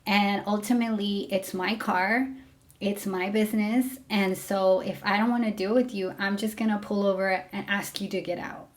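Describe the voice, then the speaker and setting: A woman's voice: mid-range voice, steady tone, moderate pace. Frank, casual woman telling her story. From Mexico. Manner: candid, frank, with many fillers. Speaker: former rideshare driver.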